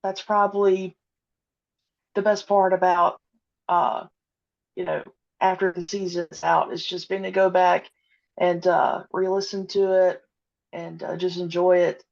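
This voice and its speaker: A woman being interviewed about her audio drama, speaking hesitantly with many pauses and fillers. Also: fairly low pitch, little pitch movement, vocal fry, darkish tone. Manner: hesitant, many ums and uhs. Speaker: podcast guest, audio drama creator. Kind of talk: casual interview chat. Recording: dull lo-fi audio.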